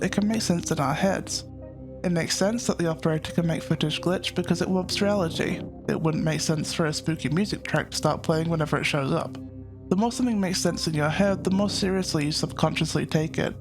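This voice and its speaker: A man narrating a scripted analytical video essay as its host, in a measured, explanatory manner. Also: on the high side.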